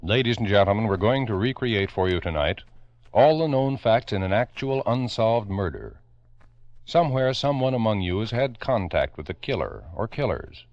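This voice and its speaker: An American man narrating a 1950s radio crime program in a grave, deliberate, dramatic manner. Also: fairly low pitch, big pitch swings, no echo. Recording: dull vintage radio recording with music.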